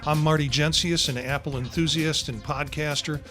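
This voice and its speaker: A man's voice: on the high side, fairly even intonation, normal speed, fairly bright tone, faint music behind. A man in his sixties hosting a podcast panel, rambling along with many fillers. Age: 60s. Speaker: podcast host. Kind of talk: casual panel chat.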